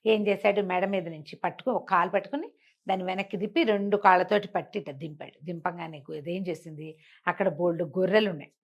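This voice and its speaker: A woman's voice: lowish voice, quick pace. A woman telling folk tales conversationally, explaining as she goes and posing rhetorical questions. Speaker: storyteller.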